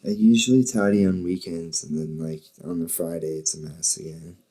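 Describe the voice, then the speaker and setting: A man's voice: low voice, normal speed, warm tone, breathy edge. A man hosting a podcast in casual chat from a bedroom, rambling and joking and swearing freely. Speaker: podcast host.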